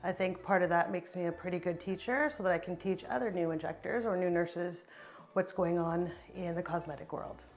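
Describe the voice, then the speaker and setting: A woman's voice: fairly low pitch, fairly even intonation, brisk. A woman, a nurse and teacher giving confident advice in an interview. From Canada. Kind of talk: testimonial interview answers.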